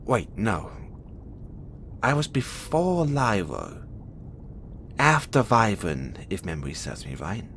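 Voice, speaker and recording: Audio roleplay casting: male actor for a hulking captive experiment, swaggering yet bitter, slow-paced, bright forceful tone. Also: on the low side, wildly swooping pitch, a little hiss or hum, exaggerated voice. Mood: teasing, probing. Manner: teasing, swaggering, swinging from soft murmurs to loud outbursts. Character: huge imprisoned male experiment, cocky, bitter, volatile. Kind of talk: intimate audio roleplay monologue addressed to the listener.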